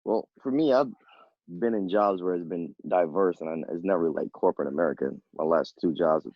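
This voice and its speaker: A man's voice: deep voice, fairly quick, no echo. A man chatting casually in a blunt, unbothered way on a dull recording. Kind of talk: casual chat.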